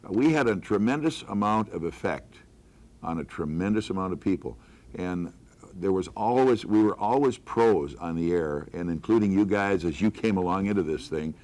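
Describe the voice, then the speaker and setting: An older American man with a deep voice. He talks as a veteran broadcaster looking back on his career in a group conversation. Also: animated, moderate pace, a little mellow. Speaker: veteran radio announcer and hockey broadcaster.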